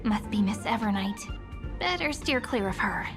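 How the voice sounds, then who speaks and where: A woman voicing a gentle, curious young game heroine who muses softly and with playful politeness to herself. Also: mid-range voice, expressive, normal speed, music in the background, lightly acted. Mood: musing, wary. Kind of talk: video game voice acting, musing to herself.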